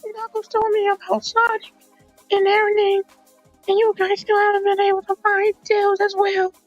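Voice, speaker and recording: A woman voicing a young, earnest, worried girl hedgehog with a squeaky voice in an amateur comic dub. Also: completely flat delivery, moderate pace, dry recording. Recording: dull lo-fi recording over music.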